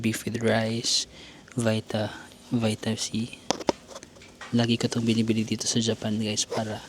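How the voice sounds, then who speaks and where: A man's voice: fairly low pitch, a little hiss or hum. A man from the Philippines with a Filipino accent, a travel vlogger chatting casually and laughing often, mixing Tagalog and English in a hostel common area. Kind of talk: casual vlog chat.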